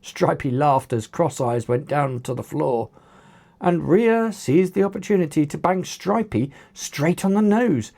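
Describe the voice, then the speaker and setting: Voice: medium pitch, measured pace, gravelly, dark tone. A narrator reading an action story aloud in a dramatic storytelling manner. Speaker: author reading own book.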